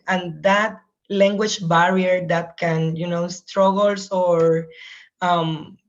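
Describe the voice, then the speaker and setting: A woman's voice: fairly low pitch, dull audio. A woman from Puerto Rico with a Puerto Rican Spanish accent, a native Spanish speaker, introducing herself as a program coordinator on a video call, searching for words in English.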